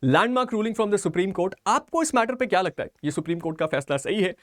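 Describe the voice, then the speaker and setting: High-voiced man, explanatory lecturer. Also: lively intonation, moderate pace, no echo, clean studio sound. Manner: emphatic, mixes English legal terms into Hindi. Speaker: law exam coach.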